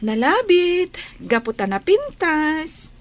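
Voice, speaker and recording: A woman's voice: sits high, big pitch swings, slow pace, full character voice. An Ilocano Filipino woman playing a caring, candid young village woman in a radio drama. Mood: candid gossip.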